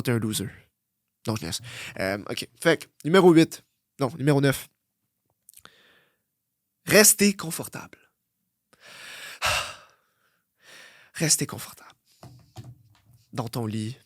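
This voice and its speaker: A young man with a Québécois French accent, hosting a blunt, profane motivational podcast that swings from loud outbursts to low muttering. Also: dry recording, clean studio sound. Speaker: fitness coach and podcast host.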